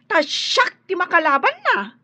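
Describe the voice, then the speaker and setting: A nosy, gossipy young Ilocano woman in a radio drama. Her voice is extremely high and piercingly bright, with animated, swooping intonation. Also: unhurried, exaggerated voice. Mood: surprised, indignant. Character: nosy, excitable young female friend, gossipy.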